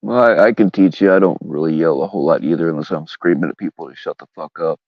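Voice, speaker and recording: A man's voice: very deep voice, fast, no room sound. A man in casual panel chat, talking in a rambling, crude way. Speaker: livestream panel member. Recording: dry, slightly dull lo-fi mic.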